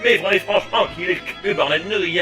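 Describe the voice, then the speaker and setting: Pompous high-voiced villain knight. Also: male voice, a little fast, bright, forceful tone. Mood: smug. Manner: cackling, pompous, mock-dramatic. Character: gleeful theatrical male villain knight, vain and scheming. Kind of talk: comic anime parody dub. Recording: faint music behind the voice.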